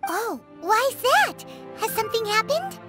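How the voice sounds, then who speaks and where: Squeaky, childlike fairy companion. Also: female voice, sing-song, a little slow, loud music behind the voice, heavily acted. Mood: curious. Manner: perky, chatty, exclamatory. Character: tiny cheerful floating fairy-like companion, childlike girl. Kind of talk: video game character acting.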